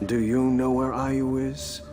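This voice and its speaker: An actor playing a grim, steady male warrior in a video game's scripted story. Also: medium pitch, slow pace, lightly acted. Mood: grim, searching. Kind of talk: scripted game narration and dialogue. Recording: loud music behind.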